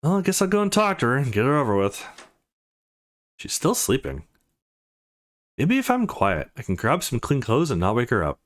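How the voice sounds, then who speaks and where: A man's voice: mid-range voice, huge pitch swings, moderate pace, pauses often. A man reading visual novel dialogue aloud as a let's play host, voicing the characters' lines as he goes. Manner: voices the characters' lines as he reads.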